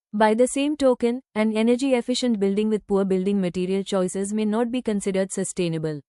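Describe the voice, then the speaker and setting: A woman reads scripted academic text with measured care. Her voice is soft-edged and mellow, with a clean, smooth tone. The sound is close and dry. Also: medium pitch, no echo. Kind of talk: scripted read-aloud of academic passages.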